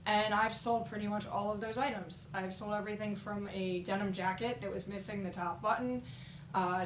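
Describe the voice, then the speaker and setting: A woman with a slightly raspy voice, recovering from being sick, giving frank, practical reselling tips at home in a casual vlog. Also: medium pitch. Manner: frank, practical, conversational with fillers. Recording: echoey room with faint noise.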